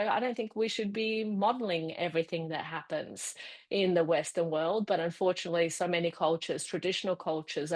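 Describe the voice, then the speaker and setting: Brazilian-born woman with an Australian accent. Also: on the low side. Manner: thoughtful, lightly rambling explanation with restarts. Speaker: fertility specialist guest. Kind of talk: podcast interview answer.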